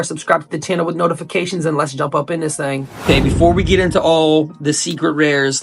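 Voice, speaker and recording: Young guy w a cold, kinda raspy n fry-ish, super hyped casual host, soft music under it. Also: on the high side. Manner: enthusiastic, repeats hype words. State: sick, coughing and sniffling. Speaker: YouTube host.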